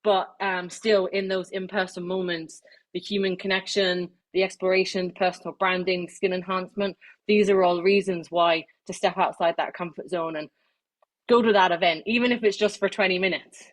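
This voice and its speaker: A woman's voice: fairly low pitch, fairly even intonation, moderate pace. A woman giving an informal online presentation, chatty, with many fillers and restarts. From not Irish, living in Ireland. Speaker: webinar speaker. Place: online webinar, video call.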